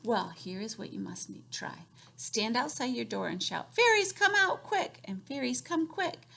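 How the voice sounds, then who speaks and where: A woman voicing a wise old village woman who gives measured, careful advice. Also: a little high, expressive, creaky, bright, forceful tone, full character voice. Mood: instructive. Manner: measured, advising.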